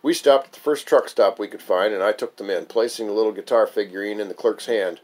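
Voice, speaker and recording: A man's voice: lowish voice, fast talker, darkish tone. A man reading his own memoir aloud as a wry, amused storyteller. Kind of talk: memoir recitation, narrated reading.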